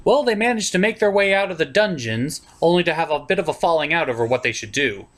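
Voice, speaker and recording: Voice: medium pitch, lively intonation, fast, fairly bright tone. A puppet show film reviewer giving a partly scripted comedic review in a crude, mock-exasperated ranting manner.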